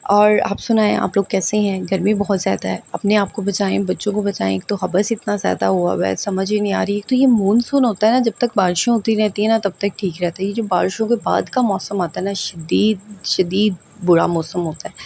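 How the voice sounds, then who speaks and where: A woman's voice: mid-range voice, creaky, darkish tone, slight background noise. A woman in her thirties with a sore throat, chatting to viewers in a rambling, direct way as she gives family advice at home. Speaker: vlogger giving family advice. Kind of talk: casual advice vlog.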